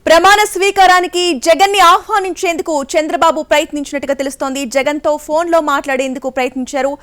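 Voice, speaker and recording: A woman's voice: sits high, steady tone, fast talker, a bit bright, soft background music. A woman news anchor. She delivers breaking news in a scripted bulletin style.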